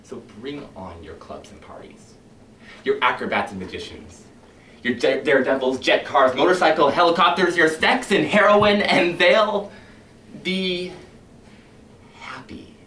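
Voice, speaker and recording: A man performing a cynical middle-aged fire chief in a competition monologue, lecturing his firemen with sarcasm and swinging between loud and hushed delivery. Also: medium pitch, very animated, moderate pace. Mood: sardonic. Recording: echoey hall heard from far off, faint hiss.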